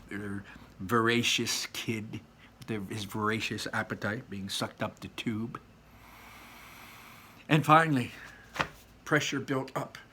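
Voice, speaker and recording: A man's voice: lowish voice, a bit bright, slight background noise. A man reading a children's story aloud with dramatic emphasis, repeating phrases and dropping in casual asides.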